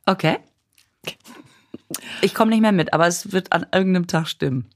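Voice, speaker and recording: Teasing woman with a lowish voice. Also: normal speed. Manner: teasing, reads horoscope text aloud, laughs at her own slips. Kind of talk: casual chat.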